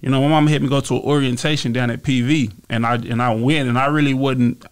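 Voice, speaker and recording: A man in his thirties with a Texas accent, a guest rapper talking in a relaxed, rambling way with lots of "you feel me" in a small studio on a stand mic. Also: medium pitch, fast, clean tone. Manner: relaxed, repeats phrases and fillers, gestures while talking. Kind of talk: casual interview storytelling. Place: small podcast studio indoors. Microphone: studio mic on stand with headphones.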